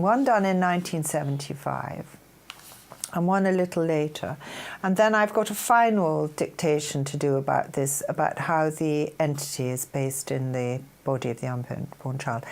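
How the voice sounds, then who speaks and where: Older British female, low voice, earnest reader of spiritual messages, formal when reading. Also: a little mellow. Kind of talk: spiritual talk with read-aloud dictations.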